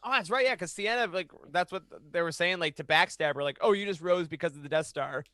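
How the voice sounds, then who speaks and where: High-pitched playful man, bright voice. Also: quick pace, no echo, studio quality. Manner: playful, rambling, acts out little quips. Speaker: podcast panelist. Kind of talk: casual roundtable chat. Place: home room on a group video call. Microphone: close podcast mic.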